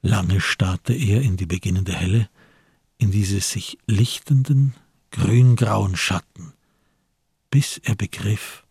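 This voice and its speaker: A man with a husky, gravelly voice narrating a literary radio drama from a script. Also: fairly low pitch, slow pace, darkish tone, no echo, clean studio sound.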